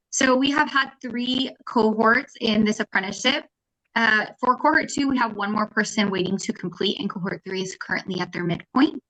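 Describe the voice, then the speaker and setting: A woman with a medium-pitched voice gives an organized, informative overview of her program. She speaks as a panelist at an online webinar. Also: bright, forceful tone, poor audio. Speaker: apprenticeship specialist. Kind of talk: panel presentation.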